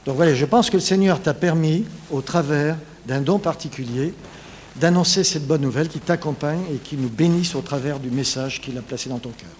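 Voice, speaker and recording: A man introducing a guest speaker to a congregation in a warm, joking way. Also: fairly high pitch, a little fast, a little hiss or hum. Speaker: church leader introducing a speaker. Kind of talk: friendly introduction.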